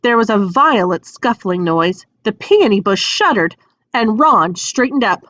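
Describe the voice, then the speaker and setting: A woman narrating a children's story aloud in an expressive, scripted storybook style. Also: medium pitch, measured pace, no echo, lo-fi sound. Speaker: audiobook reader.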